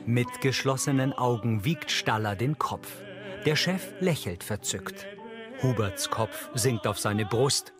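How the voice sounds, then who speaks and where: A man's voice: medium pitch, lively intonation, slow, music in the background. A man reading scripted scene narration as an audio describer.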